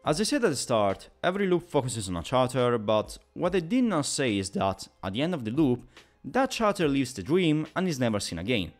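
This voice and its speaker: A man's voice: medium pitch, very animated, fairly quick, soft background music. A man delivering a scripted video game review in an explanatory, opinionated way.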